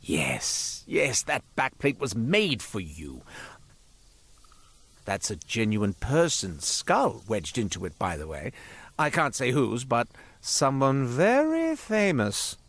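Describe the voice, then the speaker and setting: Flamboyant devilish merchant, wildly swooping. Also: male voice, mid-range voice, huge pitch swings, slow pace, full character voice. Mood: sly, showy. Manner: florid, theatrical, ingratiating salesman patter. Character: flamboyant devilish roadside curio merchant, male, wheedling and grandiose. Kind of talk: theatrical video game character dialogue.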